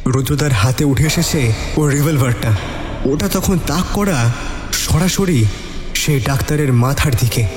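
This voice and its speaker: A man's voice: mid-range voice, measured pace. A man narrates a suspense detective story in the first person, in a dramatic storytelling style. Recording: echoey long reverb, music underneath. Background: suspense music.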